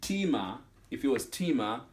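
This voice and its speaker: A young man teaching a language lesson at a whiteboard, speaking slowly and repeating each phrase with its meaning, recorded indoors at home. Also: medium pitch, very animated. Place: small indoor room at home.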